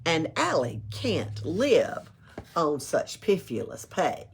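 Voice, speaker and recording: A woman's voice: sits low, slow, gravelly, slight background noise. A woman reading a rhyming picture book aloud at a library storytime, playful, with little asides of her own. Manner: expressive, playful, adds asides and chuckles.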